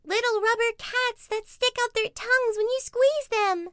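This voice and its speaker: A woman voicing a young girl bear cub in a children's storybook, whiny, excitable and pleading. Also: very high-pitched, normal speed, dry recording, studio quality, voice pushed up. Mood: excited shouting. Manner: whining, shouting, begging.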